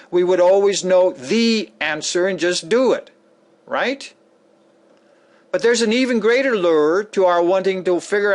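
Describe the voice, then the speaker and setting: A man giving a dramatic, sermon-like scripted lecture reading, with emphatic, deliberate phrasing and a theatrical delivery. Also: fairly high pitch. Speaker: author reading a sample of an e-book lecture.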